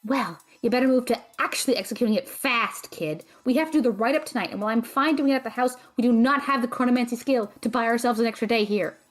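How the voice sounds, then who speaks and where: A woman playing a stern, stressed young female magic student in an audio drama, with precise, deadpan, teasing delivery. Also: a little high, fast, few pauses, soft background music, close to an everyday voice. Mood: stressed, bossy. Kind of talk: audio drama acting, dry banter.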